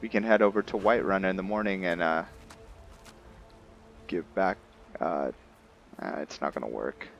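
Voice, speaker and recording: A man giving casual commentary as he plays a roleplaying game, thinking aloud in a halting way. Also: low voice, creaky, dull audio, soft background music. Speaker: gameplay host.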